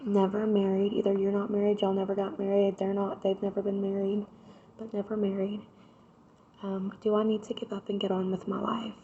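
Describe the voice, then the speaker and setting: A woman, hoarse from a cold, doing a casual tarot reading and chatting straight to her viewers. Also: mid-range voice, monotone, a little fast, mellow, lo-fi sound, a little hiss or hum. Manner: chatty, addresses viewers directly with repeated "right?". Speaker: tarot reader.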